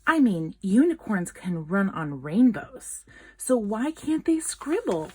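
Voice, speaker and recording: A woman reading a children's picture book aloud in an expressive, dramatic storytelling manner. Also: medium pitch, slow, slightly rough. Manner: expressive, dramatic, with gasps and whispered asides.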